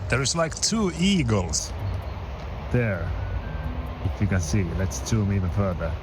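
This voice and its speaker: Finnish-accented man, animated vlog chat. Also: lowish voice, unhurried, background noise. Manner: playful, self-mocking, laughs often. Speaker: vlogger. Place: outdoors by the water.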